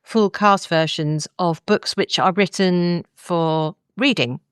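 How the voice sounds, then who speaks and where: Woman, podcast host. Low, clear voice, closely recorded and dry, explaining points in conversation. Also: takes it slowly, no room sound. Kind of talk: thoughtful podcast book discussion.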